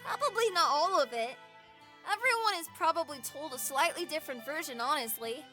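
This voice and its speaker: A woman's voice: high-pitched, expressive, fairly quick, sharp tone, faint music behind. A woman playing a kind, earnest kid, wise beyond their years, in an audio drama. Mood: thoughtful, earnest. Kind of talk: scripted audio drama acting.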